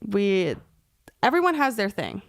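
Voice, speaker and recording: A woman's voice: medium pitch, very animated, unhurried, vocal fry. A young woman hosting a podcast in a casual, slangy, rambling way, peppering her talk with "like". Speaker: podcast host.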